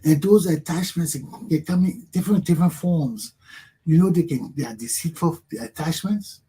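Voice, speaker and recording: A middle-aged man with a Liberian accent delivers a motivational monologue on his own talk show. His voice sits a little high for a man and sounds warm and husky. He preaches with emphasis and circles back to repeat his points. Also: moderate pace.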